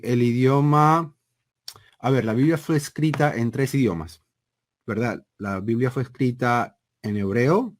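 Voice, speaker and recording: Deliberate man, interview guest. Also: medium pitch, a little mellow. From Panama. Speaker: interview guest, seminary program director. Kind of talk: conversational explanation in an interview.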